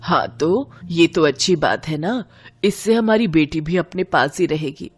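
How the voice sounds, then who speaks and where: A woman's voice: sits low, expressive, measured pace, breathy edge, soft background music, exaggerated voice. A woman voicing a chatty, friendly middle-aged landlady and mother with a persuasive manner in an audio story. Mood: persuading.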